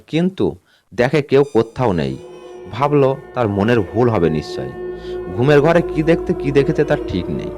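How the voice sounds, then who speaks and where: A man narrates a scripted ghost story. His voice sits at an average pitch, and he tells it in a measured, suspenseful manner. Music plays underneath the narration. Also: a little mellow. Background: background music.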